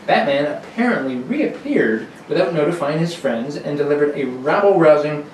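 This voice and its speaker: A man's voice: a little high, expressive, moderate pace, darkish tone. A man narrating a dramatic scripted radio serial in the role of announcer. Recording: very echoey room, distant mic, faint noise.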